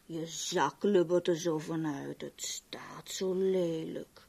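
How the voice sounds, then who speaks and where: Seductive villainess, unhurried drawl, mockingly tender, cruel, radio play. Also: female voice, sits low, fairly even intonation, creaky, a little mellow, slightly rough, full character voice. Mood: mocking coo. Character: teasing, seductive, sadistic female henchwoman. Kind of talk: 1970s Dutch radio drama acting.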